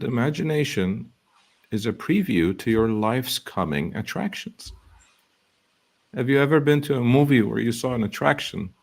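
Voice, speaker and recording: Very deep-voiced earnest motivational man. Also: vocal fry. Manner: earnest, quotes sayings, slow emphasis. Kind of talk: motivational talk.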